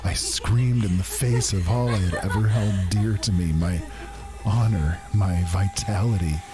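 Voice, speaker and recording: Deep-voiced man telling a horror story. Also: loud music behind the voice. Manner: slow, dramatic, intense narration. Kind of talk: spoken horror story narration.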